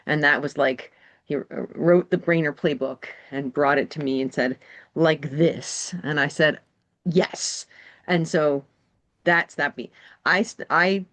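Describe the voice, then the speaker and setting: A woman with a lowish voice talks casually as a guest designer on a podcast. She wanders through her story, pausing often on ums and restarts. She grew up in New England. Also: fairly bright tone. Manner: rambling storyteller, many fillers. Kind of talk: casual conversation.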